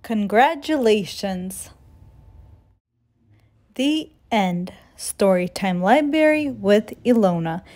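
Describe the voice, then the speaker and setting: A woman narrates a children's picture book at an unhurried pace. Her pitch sits in the middle, and her read-aloud delivery is measured and expressive. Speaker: storytime reader of children's picture books.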